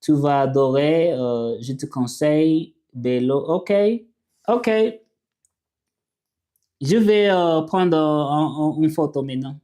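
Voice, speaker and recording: A man whose first language is English, hosting a casual livestream and reading viewer comments, talking haltingly with many fillers and restarts. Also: a little high, warm tone. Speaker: livestream host.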